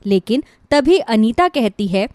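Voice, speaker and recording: A woman's voice: fairly high pitch, lively intonation, measured pace, a little mellow, dry recording. A woman giving scripted narration for a cartoon moral story.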